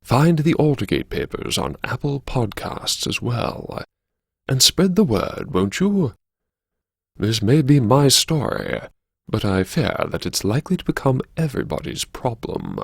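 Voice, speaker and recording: A man performs a wry university grandee narrating an audio memoir. The voice is gravelly and swoops theatrically, lingering sardonically over words. It is recorded dry and close, with a slow, deliberate delivery. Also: mid-range voice, very animated, dry recording, exaggerated voice. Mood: arch, confiding. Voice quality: gravelly, husky. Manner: arch, sardonic, savouring words with dramatic pauses. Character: wry, theatrical, self-mocking university vice-chancellor narrating his haunted memoirs. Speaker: narrator of a serialised audio story.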